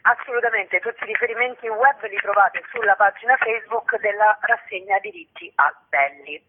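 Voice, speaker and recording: A woman's voice: medium pitch, brisk, noisy background. Informal woman on a tinny phone line. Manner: informal, joking. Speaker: theatre festival curator, interview guest. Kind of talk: casual, chatty radio interview.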